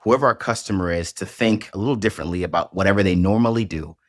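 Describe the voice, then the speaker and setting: A man's voice: low-pitched, fast talker, dry recording, clean studio sound. A man hosting a podcast interview, encouraging and upbeat, often repeating words as he starts a thought. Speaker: podcast host.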